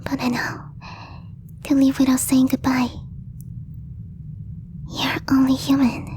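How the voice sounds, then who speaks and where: A woman voicing a possessive, lovestruck alien girl in a breathy whisper, with slow, stiffly formal phrasing for an ASMR roleplay. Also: high voice, completely flat delivery, background noise. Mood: longing, possessive. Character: possessive lovestruck alien girl, stilted speech, shyly obsessive.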